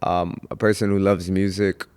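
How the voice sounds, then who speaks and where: A young man from Houston, Texas, a comedian guest on a podcast, talking in an unhurried, reflective way with pauses to think. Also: sits low, flat delivery, darkish tone, clean tone. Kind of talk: casual podcast interview.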